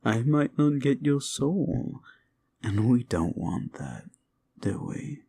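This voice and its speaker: Man voicing a slow, dark-voiced seductive demon. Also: mid-range voice, animated, vocal fry, husky, heavily acted. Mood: possessive, teasing. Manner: slow, teasing, possessive murmur. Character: sly seductive classic monster demon, hungry for suffering. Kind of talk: intimate comfort ASMR roleplay.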